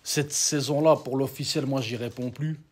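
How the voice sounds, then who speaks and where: A man's voice: medium pitch, fairly even intonation, moderate pace, slightly rough. A man hosting a talk show, asking loose, joking questions in a casual way. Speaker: show host. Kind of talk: casual interview chat.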